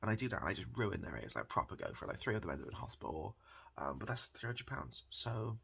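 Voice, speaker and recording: British man, softly spoken, husky, swooping intonation, improvised ASMR barber roleplay, deadpan, rambling, hesitant, sweary. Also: lowish voice, fast. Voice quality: soft-spoken, breathy. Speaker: ASMR comedy performer.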